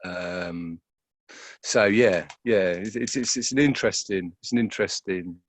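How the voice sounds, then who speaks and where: A man being interviewed as an actor, talking reflectively and informally in a halting, searching way, often repeating words. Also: deep voice.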